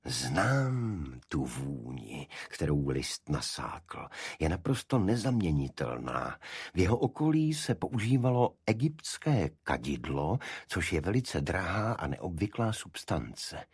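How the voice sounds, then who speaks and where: A confident Victorian detective, read by a male narrator, with a low, husky voice. He lays out deductions deliberately and precisely in a dry, close audiobook sound. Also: big pitch swings, dry recording, exaggerated voice. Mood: absorbed, analytical.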